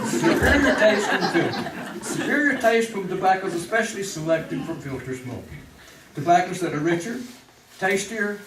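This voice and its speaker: An American man giving an emphatic, polished advertising pitch as a 1950s radio commercial announcer. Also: a bit bright, far from the mic. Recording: echoey, faintly noisy old radio recording.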